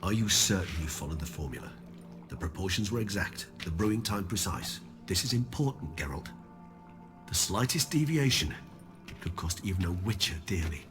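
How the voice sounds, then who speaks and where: An actor playing a learned, courteous vampire in a video game, speaking formally with measured, precise diction. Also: male voice, low-pitched, very animated, over music. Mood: cautious. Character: courteous, learned, long-lived male vampire. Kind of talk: video game cutscene acting.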